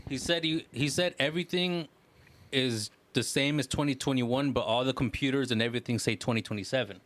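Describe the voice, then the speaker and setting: A man with a mid-pitched voice talks as a podcast co-host. His delivery is casual and excitable, like someone telling friends a story, with plenty of slang and swearing. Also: fairly quick. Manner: excitable storytelling, slangy, profane, frequent "bro" and "like".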